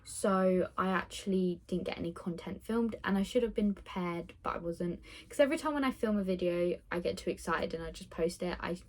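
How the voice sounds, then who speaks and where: A woman's voice: lowish voice, a little fast, few pauses. A nineteen-year-old woman chatting casually in a get-to-know-me vlog, rambling with lots of ums and little laughs. She records on her phone indoors with the window open. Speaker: vlogger.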